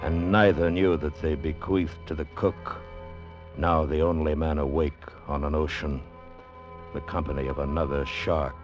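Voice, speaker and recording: A man acting as the grave, measured literary narrator of an old radio sea adventure. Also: extremely low pitch, flat delivery. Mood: grave, ominous. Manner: grave, measured, deliberate delivery. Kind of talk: 1940s-50s radio drama narration. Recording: muffled vintage radio recording with music. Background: dramatic music.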